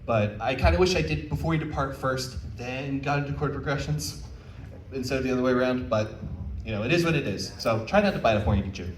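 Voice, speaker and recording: A man's voice: medium pitch, quick pace. A man speaking as a panelist at a convention, informal and conversational, with frank encouragement. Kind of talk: informal convention talk with audience questions. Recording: roomy, distant mic, faint background noise.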